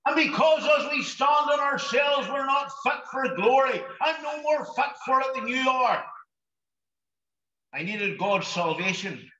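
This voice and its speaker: A man preaching an impassioned gospel sermon, pleading with his listeners and breaking into shouts, at a drive-in meeting. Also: very high voice, animated, unhurried. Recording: echoey, dull lo-fi recording.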